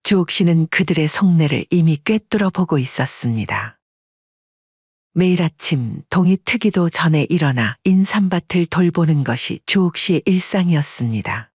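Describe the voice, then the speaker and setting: Calm, slightly husky woman narrator. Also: low voice, no room sound, lo-fi sound. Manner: calm, measured storytelling. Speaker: audiobook narrator. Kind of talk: scripted story narration.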